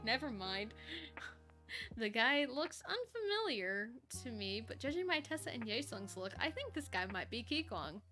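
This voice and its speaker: A woman streamer reading a story aloud, expressive and playful, with chatty asides. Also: a little high.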